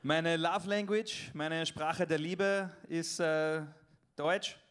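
A man's voice: on the high side, steady tone, slow pace, fairly bright tone, clear, resonant tone. A young man from Vienna with a Viennese accent doing a joking stand-up set, talking to the crowd on a club stage. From Vienna, Austria. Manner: loose, joking, talks to the crowd. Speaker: stand-up comedian.

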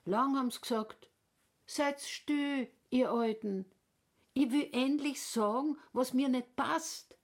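A woman declaiming poetry from a stage in a defiant, forceful way. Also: sits high, slow pace. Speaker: stage performer.

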